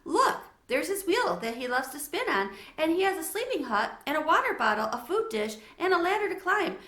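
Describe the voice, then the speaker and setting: A woman's voice: very high voice, very animated, moderate pace, a bit bright, roomy sound, pitched above the performer's own voice, heavily acted. A woman voicing a talkative, lonely, imaginative young schoolgirl in a children's storybook, earnest and gushing. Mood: excited.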